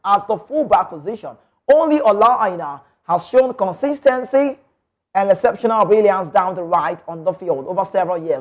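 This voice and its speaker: Fired-up Nigerian man with a high voice. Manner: passionate, emphatic, rhetorical questions. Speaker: sports talk host. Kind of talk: opinionated football commentary.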